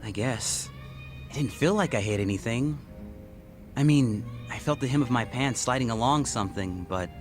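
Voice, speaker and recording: A man's voice: mid-range voice, moderate pace, soft background music, natural voice. An actor voicing a polite, naive, earnest man in his twenties in a video game. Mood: unsure. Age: 20s. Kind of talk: video game cutscene dialogue.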